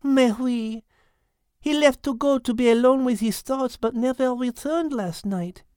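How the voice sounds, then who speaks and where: A man voicing a gentle, caring female cat in a storybook reading, speaking softly and earnestly. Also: very high voice, clean tone, no room sound, clean studio sound, voice pushed up. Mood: concerned. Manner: soft, slow and earnest, sprinkled with French words.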